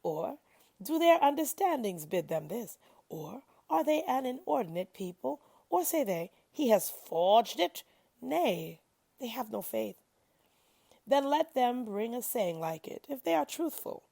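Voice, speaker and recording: A woman reading religious scripture aloud expressively, with measured pauses and dramatic emphasis. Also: fairly high pitch, vocal fry, mellow, breathy edge, no echo. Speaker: reader of scripture.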